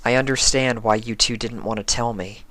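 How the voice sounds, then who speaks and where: Guy doing sincere gentle pony girl, voice bumped up higher, chatty story character. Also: steady tone, normal speed, no echo, natural voice. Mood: awkward, earnest. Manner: earnest, conversational. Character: earnest, gentle young female pony.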